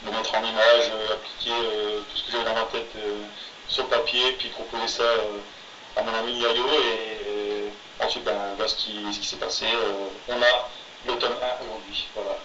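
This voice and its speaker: A man co-hosting a casual podcast who tells stories haltingly, with many fillers. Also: fairly low pitch, level tone, background noise. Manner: halting, filler-heavy storytelling. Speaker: podcast co-host, manga artist. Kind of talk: casual chat. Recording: roomy, distant, dull lo-fi sound.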